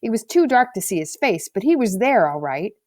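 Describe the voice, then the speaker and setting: A woman's voice: mid-range voice, sing-song, a little fast, darkish tone, no room sound, natural voice. Lady doing cocky schoolboy in a kids book, slangy, indignant, brash, dry sound. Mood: boastful. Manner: slangy, brash, self-justifying. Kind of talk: storybook character voice.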